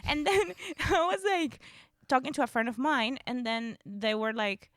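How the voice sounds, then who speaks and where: A woman from Venezuela co-hosting a podcast in casual chat, playful and teasing, who bursts into loud shrieking laughs. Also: high-pitched, a little slow, no echo, studio quality. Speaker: podcast co-host.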